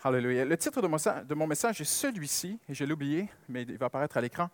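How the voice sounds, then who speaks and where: A man's voice: on the high side, big pitch swings, quick pace, no echo, studio quality. A man with a French Canadian accent preaching a sermon with personal stories, emphasizing and repeating key phrases.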